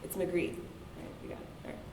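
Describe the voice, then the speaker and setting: A woman's voice: fairly quick, reverberant, background noise. A woman cartoonist speaking to an audience at a reading, losing her voice and talking in a chatty, self-deprecating way with filler words. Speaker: cartoonist and author giving a talk. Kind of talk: casual public talk to an audience. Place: university event hall.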